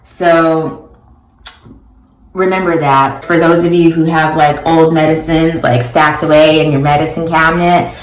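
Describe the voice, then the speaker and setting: A middle-aged woman, a social epidemiologist, explaining in a measured way as she narrates and vlogs. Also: deep voice, fairly even intonation, rough recording. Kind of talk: personal vlog and voice-over narration.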